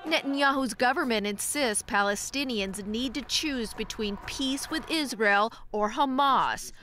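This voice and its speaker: A woman's voice: a little high, unhurried, bright, forceful tone, a little hiss or hum. A woman narrating a scripted news report as a television reporter.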